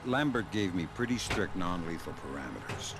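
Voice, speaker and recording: An actor playing a dry-witted older male covert agent in a video game, speaking in a wry, deadpan manner. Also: low-pitched, normal speed, background noise.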